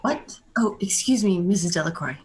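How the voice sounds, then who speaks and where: A woman playing a practical, stubborn farm wife in a radio play, brisk and scolding. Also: on the low side, expressive, slight background noise, lightly acted. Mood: unconcerned.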